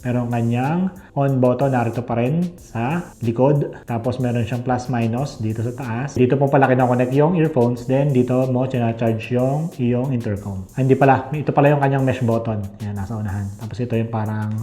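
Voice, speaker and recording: Filipino guy doing a chatty unboxing review, soft mellow voice, mid pitch, keeps adding little tag words. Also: brisk, faint music behind. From Philippines. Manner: conversational, frequently ends phrases with checking tag words. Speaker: host of a motorcycle gear review channel. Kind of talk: casual product review and unboxing, mixing Tagalog and English.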